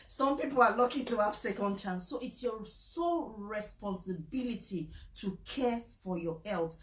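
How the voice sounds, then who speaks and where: Chatty woman, echoey room. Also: fairly high pitch. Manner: chatty, emphatic, repeats herself, laughs often. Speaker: vlogger promoting supplements. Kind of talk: casual talk mixing a sales pitch with preaching.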